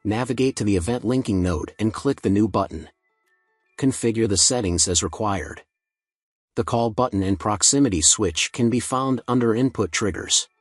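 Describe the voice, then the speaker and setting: A man reading a scripted software tutorial in a measured, procedural instructional manner. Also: on the low side, dry recording, studio quality, soft background music.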